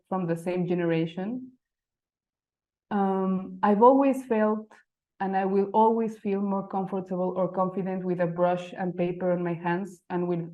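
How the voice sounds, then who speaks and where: A woman's voice: on the low side, muffled recording. Mexican woman speaking hesitant English. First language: Spanish. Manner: hesitant, frequent fillers and restarts, non-native phrasing. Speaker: type designer giving a talk. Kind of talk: conference presentation. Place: lecture venue.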